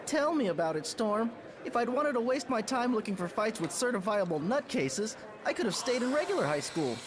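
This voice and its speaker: A performer playing a sarcastic, excitable teenage boy superhero in a motion comic, slangy and animated. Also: mid-range voice, brisk, a crowd in the background, lightly acted.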